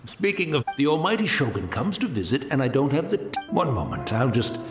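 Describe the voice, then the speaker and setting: An actor playing a blind, gentle old man, humble, polite and wistful in a video game, with echo and game music. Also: medium pitch, huge pitch swings, fairly quick.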